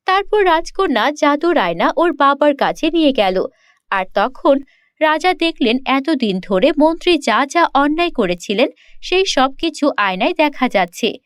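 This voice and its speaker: A woman narrates a children's fairy tale from a script. Her voice is very high-pitched, with a close, dry sound and a storyteller's delivery. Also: very animated, measured pace, no echo.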